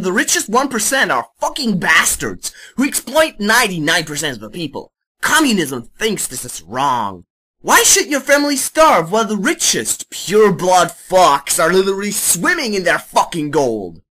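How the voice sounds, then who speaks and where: Female reader as fervent teen boy agitator, bright forceful voice, ranting emphatically in fan fiction drama. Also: medium pitch, big pitch swings, normal speed, husky, heavily acted. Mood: fervent, indignant. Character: zealous, foul-mouthed teenage boy preaching communist revolution.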